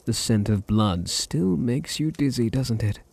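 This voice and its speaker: A man playing a possessive, teasing vampire master in an intimate ASMR roleplay, with a deep, husky British voice and a languid, condescending manner. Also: lively intonation, unhurried, darkish tone, no echo, exaggerated voice. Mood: possessive, menacing. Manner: languid, condescending, purring endearments.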